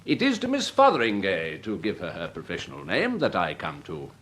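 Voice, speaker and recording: An actor playing a courteous elderly English army major with an upper-class accent, urbane and formal, in a period radio drama. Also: male voice, low voice, huge pitch swings, a little fast, fairly bright tone, breathy edge, full character voice. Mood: smooth flattery. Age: older.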